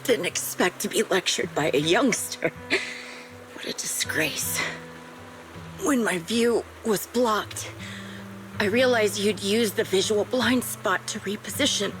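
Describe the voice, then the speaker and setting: An actress playing a bitter, vengeful, dying veteran sniper in a video game, speaking in a grave, halting way. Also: female voice, mid-range voice, fairly bright tone, low-quality recording. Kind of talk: dramatic video game voice acting. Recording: music behind the voice.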